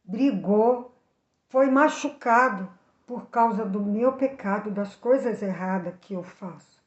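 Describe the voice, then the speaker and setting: A woman's voice: medium pitch, takes it slowly, close to an everyday voice. A Brazilian woman voicing a remorseful, troubled father in a spoken children's story, confessing and pleading earnestly. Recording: echoey room, slightly dull sound.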